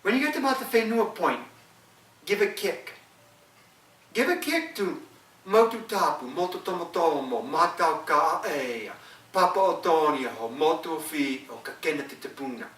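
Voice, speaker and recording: Man voicing a shrill young island wife. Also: animated, normal speed. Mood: instructing. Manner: high sharp pleading voice. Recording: echoey room, from far off.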